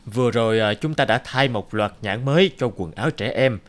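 A man voicing a middle-aged company director who asks staff brisk, authoritative questions. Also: mid-range voice, lightly acted. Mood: businesslike.

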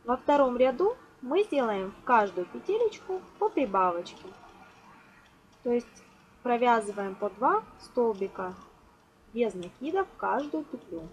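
High-voiced woman giving craft instructions. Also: big pitch swings, measured pace, highs cut off. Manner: fond of diminutives, explains step by step. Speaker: crochet tutorial host. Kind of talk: hands-on craft instruction, spoken informally. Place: indoors at a table. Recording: noisy amateur home recording.

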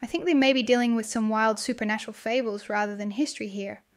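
A young female student , played by a voice actress, confides in her video diary in a fairly high voice. The delivery is dramatic, made for a horror audio story, and the sound is close and dry. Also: fairly even intonation, fast talker, dry recording, lightly acted. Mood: uneasy, obsessed.

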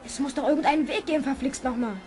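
A woman's voice: sits high, very fast, darkish tone, natural voice. A female performer playing a spirited, sympathetic youngster in a children's radio play, eager and inquisitive. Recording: old slightly noisy radio play.

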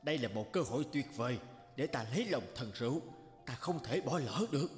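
A man's voice: medium pitch, animated, brisk, soft background music, close to an everyday voice. A man voicing a greedy, imperious middle-aged king in a cartoon fairy tale, theatrical and commanding. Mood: scheming.

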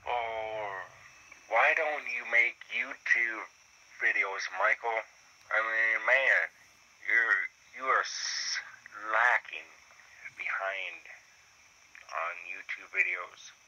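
A man chatting casually over a walkie-talkie app, halting and full of fillers, heard through a handheld radio speaker. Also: fairly low pitch, steady tone, bright, forceful tone, lo-fi sound. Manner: slow, halting, filler-heavy. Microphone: played from a handheld radio phone.

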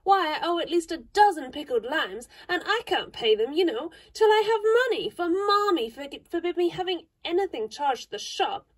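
A woman's voice: very high-pitched, very animated, normal speed, hardly any pauses, voice pushed up. A woman voicing a vain young girl who is fond of big words, speaking in an earnest, fussy, chattering way. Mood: pleading.

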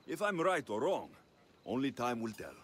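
An actor with a Greek accent playing a confident, wry ancient Greek mercenary in a video game, dry and measured. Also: male voice, mid-range voice, sing-song, a little hiss or hum, lightly acted. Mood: earnest.

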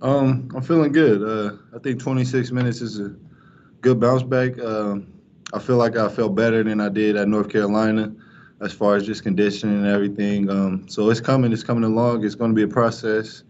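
Young man talking flat and halting. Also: fairly low pitch. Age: young adult. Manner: flat, halting, many fillers and restarts. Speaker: college basketball player. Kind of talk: postgame press conference answers. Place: press room in front of a sponsor backdrop. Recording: dull lo-fi stream with faint noise.

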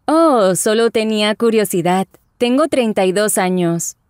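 A woman voicing Isabella, a friendly young traveler in a scripted lesson dialogue, speaking with clear careful enunciation. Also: medium pitch, lively intonation, a little slow, no echo, clean studio sound, lightly acted. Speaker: voice actor in language lesson dialogue. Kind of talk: scripted slow and normal-speed lesson dialogue.

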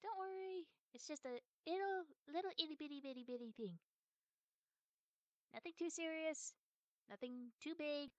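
A woman's voice: high voice, normal speed, pauses often, a little mellow, no room sound, muffled recording, lightly acted. A woman playing a cute, playful, childishly possessive girlfriend in an ASMR roleplay, with a coy, stammering manner. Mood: coy bargaining.

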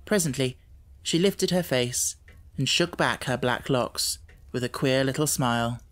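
A man's voice: medium pitch, a little slow, a bit bright. A man reading a children's novel aloud as scripted audiobook narration.

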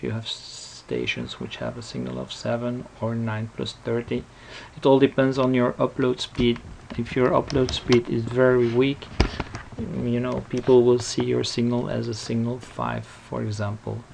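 A man giving an unscripted software tutorial, explaining step by step in a halting, repetitive way. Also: mid-range voice, gravelly. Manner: explanatory, halting, repeats himself. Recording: noticeable background noise.

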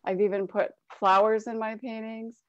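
A woman's voice: on the high side, flat delivery, moderate pace, no echo. A woman from Cleveland, Ohio, speaking as an artist on a panel in a friendly, chatty way over a video call. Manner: friendly, chatty, some fillers. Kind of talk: casual panel talk. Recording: dry, dull lo-fi call audio.

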